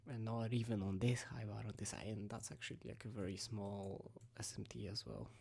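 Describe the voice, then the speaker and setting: A teenage young man with a rough, sick-sounding voice gives a casual, halting trading recap, thinking aloud and sometimes sinking to a near-whisper. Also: on the low side, steady tone, a little mellow. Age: teenager, about 17. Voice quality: rough, breathy, creaky. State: rough voice from recent sickness. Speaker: day trader recapping own trades. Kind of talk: casual screen-recap commentary.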